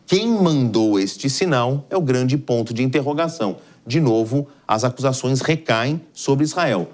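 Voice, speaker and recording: A man's voice: mid-range voice, lively intonation, unhurried, a little mellow. A man with a Brazilian accent giving live explanatory news commentary as an analyst, in a didactic, emphatic way.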